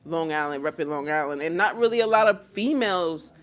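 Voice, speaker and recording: A woman's voice: sits low, expressive, fairly quick. A young woman, a guest battle rapper talking casually and slangily about her start. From New York. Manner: laid-back, slangy, keeps asking "you feel what I'm saying". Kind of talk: casual interview chat.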